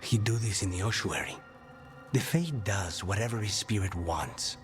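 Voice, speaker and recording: An actor playing a weary, guarded assassin in a fantasy game, stoic and understated. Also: male voice, fairly low pitch, animated, moderate pace, soft background music, lightly acted.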